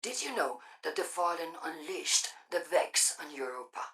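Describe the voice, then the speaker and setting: An actress playing an imperious robot demagogue giving an ominous, deliberate propaganda broadcast in a video game, with a husky, breathy voice. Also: female voice, sits low, sing-song, fairly bright tone, distant mic, low-quality recording. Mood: ominous, accusing. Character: imperious female robot demagogue warning of invasion.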